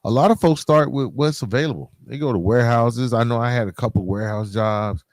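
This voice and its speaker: A man's voice: medium pitch, fairly quick, dry recording, clean studio sound. A middle-aged man hosting a podcast, talking informally and directly to his listeners in a conversational solo monologue. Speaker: podcast host.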